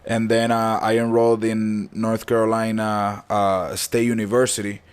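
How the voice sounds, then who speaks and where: Twenty-something man from the Dominican Republic, slightly low voice, easygoing and sincere guest on a casual talk show. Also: little pitch movement, normal speed, smooth. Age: 20s. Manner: laid-back, earnest, peppered with "bro" and "man". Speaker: club owner guest. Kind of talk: casual podcast interview.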